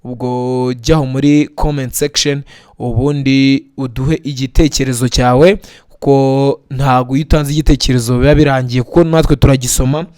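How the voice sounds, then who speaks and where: Earnest male news presenter, clear and smooth, dry close sound. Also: a little high, darkish tone, dry recording. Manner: earnest, blessing viewers and urging comments. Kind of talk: news narration and sign-off.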